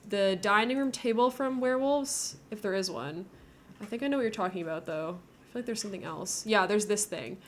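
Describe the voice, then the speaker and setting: A woman streams a building game and chats as she goes. She thinks out loud in a casual, giggly way, at a middling pitch. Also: slight background noise. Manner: chatty, thinking aloud, giggly.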